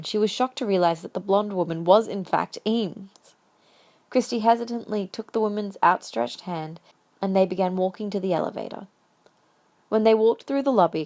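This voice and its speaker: Mid-pitched woman narrating a story. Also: darkish tone. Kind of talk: scripted narration of a fan audio drama.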